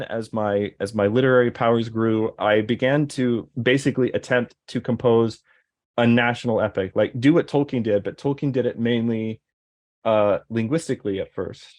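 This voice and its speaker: A man in casual conversation, rambling, with many fillers and restarts. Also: medium pitch. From American Deep South. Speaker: guest.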